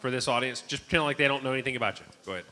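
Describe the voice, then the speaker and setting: A man moderates a filmmaker panel in a bright, forward voice. He introduces the guests in a friendly way and poses his questions clearly. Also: medium pitch, very fast.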